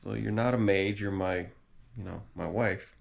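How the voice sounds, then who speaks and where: American guy, very low voice with a lot of fry, dry jokey couple chat. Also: steady tone, moderate pace. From United States. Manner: dry, joking, explains carefully. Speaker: vlog co-host.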